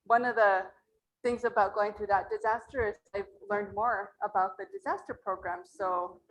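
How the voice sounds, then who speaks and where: A woman from Mora, New Mexico, with a low voice, speaking earnestly and plainly as an acequia representative at a community meeting in a library meeting room. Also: fairly even intonation, normal speed, low-quality recording.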